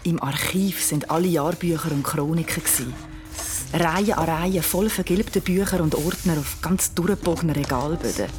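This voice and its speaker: Casting: actress as anxious, determined young Swiss German mother, lowish voice, naturalistic audio drama, music underneath. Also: moderate pace, lightly acted. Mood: narrating, reflective. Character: Steffi, anxious, driven young mother investigating a mystery.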